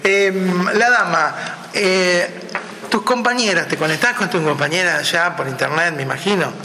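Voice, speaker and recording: A man's voice: sits high, moderate pace, sharp tone, slight background noise. A man with an Argentine accent interviewing guests in a chatty, joking way. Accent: Argentine Spanish. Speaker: interviewer host. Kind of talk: radio-style interview.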